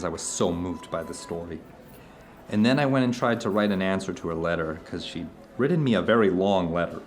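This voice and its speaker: A man's voice: on the low side, a little hiss or hum, close to an everyday voice. An actor playing an introspective man who tells uncanny personal anecdotes to an old friend in an audio drama, rambling, earnest and emphatic. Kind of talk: audio drama dialogue, conversational monologue.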